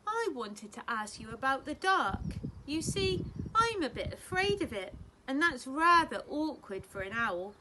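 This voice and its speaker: A woman's voice: sits high, big pitch swings, unhurried, noisy background. A woman reading a storybook, voicing a small young male barn owl in a childlike, plaintive way. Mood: earnest.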